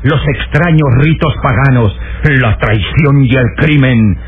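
A man's voice: mid-range voice, measured pace, slight background noise. A man announcing an old radio serial in a grandiose, declamatory dramatic style. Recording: lo-fi radio recording under loud music. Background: loud theme music.

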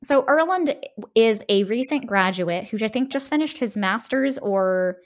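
A woman's voice: fairly high pitch, animated, a little slow. A woman co-hosting a podcast in casual discussion, often tagging her phrases with a questioning "right". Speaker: podcast co-host. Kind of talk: casual discussion of a video game.

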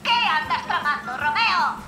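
An extremely high, lively cartoon voice of a brave young boy superhero. He gives urgent orders in a European Spanish accent, with loud music behind. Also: a little slow. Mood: commanding. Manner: urgent, commanding. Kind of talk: animated cartoon dub.

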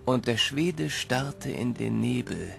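A wistful grown man narrating his memories in a radio play. His voice is heavily creaky and gravelly, slow and reflective, with faint music behind. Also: medium pitch, expressive, a bit bright, exaggerated voice. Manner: measured, reflective. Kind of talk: scripted audio drama narration.

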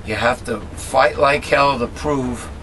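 A middle-aged man with a raspy voice, talking casually to a webcam from a small cluttered room at home, rambling with many fillers and restarts as he airs blunt opinions. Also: medium pitch, takes it slowly, a bit bright, a little hiss or hum, rough recording. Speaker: host of a political commentary channel. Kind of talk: casual monologue, talking to camera.

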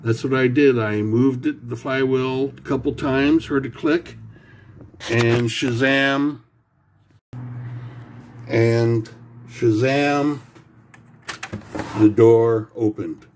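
A man talks through a home repair in a casual, halting way, pausing on fillers and repeating himself. His delivery is slow and unhurried at a mid pitch, picked up by a camera mic at his workbench. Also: fairly even intonation, slight background noise. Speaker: home repair video maker. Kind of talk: casual how-to explanation.

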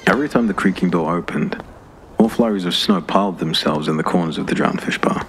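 A man reads dark fantasy fiction as a scripted audiobook narration. His voice is low and deep. Clear atmospheric noise sits behind him throughout. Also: brisk. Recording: clear background ambience noise.

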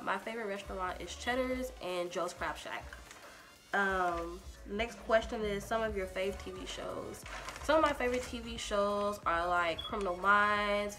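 A young woman from Texas with a Liberian accent, chatting through a get-to-know-me Q&A with lots of ums and giggles, filmed indoors in a small room. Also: medium pitch. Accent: Liberian English. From Texas, USA. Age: young adult. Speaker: YouTuber.

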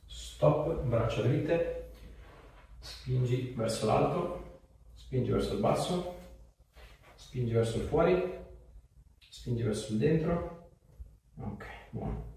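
A man's voice: mid-range voice, animated, takes it slowly, creaky, pauses often, mellow. A man, working as a chiropractor and giving short informal instructions while checking for pain. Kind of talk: hands-on treatment talk with short instructions. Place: small tiled treatment room indoors. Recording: echoey room, distant mic, faint noise.

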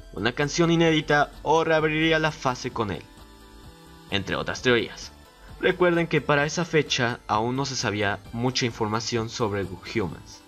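A man's voice: medium pitch, fairly bright tone. A man giving scripted narration as a guest collaborator on an online video.

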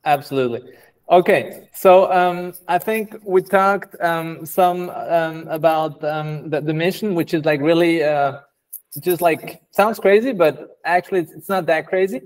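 Young man hosting, relaxed and agreeable. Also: a little high, a little slow. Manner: relaxed, agreeable, filler-heavy questions. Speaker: podcast host. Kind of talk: casual interview over video call. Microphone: video call.

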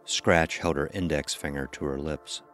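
A man's voice: a bass voice, steady tone, normal speed, a bit bright, soft background music. A man narrating a scripted horror story as the narrator of an audio drama.

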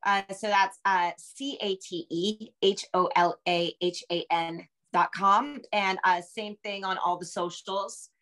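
A woman's voice: medium pitch, fairly even intonation, measured pace, hardly any pauses, a bit bright. A middle-aged American woman speaking as an author guest on a video call, explaining casually and often circling back to rephrase. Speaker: novelist and screenwriter guest. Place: room with bookshelves, indoors.

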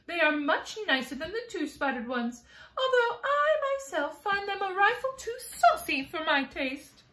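A woman's voice: very high-pitched, very animated, a little slow, pushed and bright, roomy sound, voice pushed up, exaggerated voice. A woman voicing a prim, genteel little ladybug. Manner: modest, refined, a little snobbish. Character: prim proud female ladybug, small and genteel.

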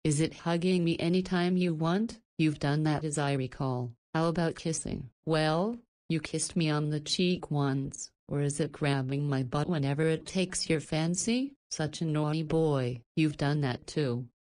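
A confident visual novel heroine with a notably low voice. She is knowing and playful, forthright when she needs to be, and protective underneath the teasing. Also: unhurried, few pauses, a little mellow.

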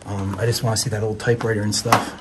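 Flat-toned, low-voiced man on noisy audio. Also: quick pace. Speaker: host of an urban exploring video. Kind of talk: casual narrated exploring vlog, addressing viewers. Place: inside an abandoned mausoleum at night. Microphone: handheld phone. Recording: noisy handheld phone recording.

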